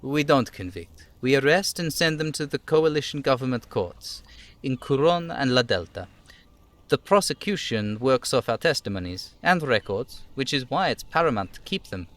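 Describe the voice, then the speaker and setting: A man voicing a reserved, dutiful police lieutenant from a game, speaking in a measured, formal way. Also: medium pitch, animated, fairly bright tone, lightly acted. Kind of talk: dramatic reading of game dialogue.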